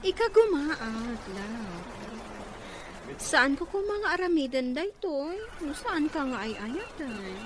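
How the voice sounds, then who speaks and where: High, animated, scheming Filipina girlfriend. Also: takes it slowly, full character voice. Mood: sweet, coaxing. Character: young scheming girlfriend of a rich man. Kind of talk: Filipino radio drama acting. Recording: noisy radio drama with ambient sound.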